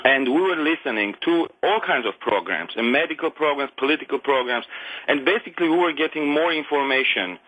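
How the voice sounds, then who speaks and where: A middle-aged man from Armenia whose first language is Armenian, speaking English with an Armenian accent. He calls in to a radio show and talks earnestly, haltingly, with many restarts and non-native grammar. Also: on the high side, lively intonation, pushed and bright, rough recording. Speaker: caller. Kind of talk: call-in radio conversation.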